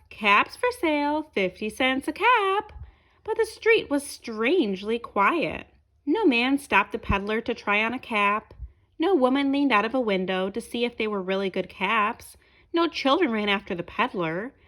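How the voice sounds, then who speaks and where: Expressive, high-pitched woman reading to children. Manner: expressive, deliberate phrasing for young listeners. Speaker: storyteller reading a picture book aloud. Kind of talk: children's read-aloud narration.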